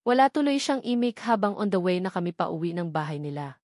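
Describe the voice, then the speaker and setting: A woman's voice: on the low side, animated, a little fast, darkish tone, no room sound, studio quality. A woman with a Filipino accent narrating a romance novel in the first person. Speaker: audiobook narrator.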